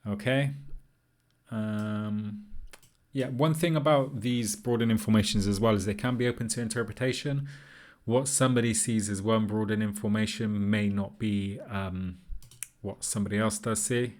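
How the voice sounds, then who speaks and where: A man's voice: lowish voice, steady tone, moderate pace, darkish tone. A man giving an informal, unscripted tutorial, thinking aloud in an easygoing, tentative way as he explains. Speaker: trading tutorial presenter.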